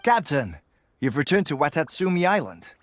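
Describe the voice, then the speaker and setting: A man's voice: on the high side, sing-song, normal speed, dry recording. A man voicing an earnest, deferential young soldier reporting to officers in a video game. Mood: respectful, earnest. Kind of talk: video game character dialogue.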